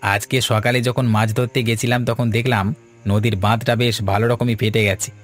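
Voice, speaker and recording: Male actor as earnest cartoon villager, mid-pitched, deliberate folk-tale delivery, light music behind. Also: level tone, natural voice. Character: earnest village fisherman and his worried neighbours, adult men. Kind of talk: Bengali cartoon voice acting, folk-tale dialogue.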